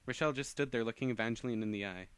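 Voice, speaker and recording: A man's voice: medium pitch, rapid-fire, smooth, no echo. A man narrating fan fiction as an amateur reader, often stumbling and rereading phrases.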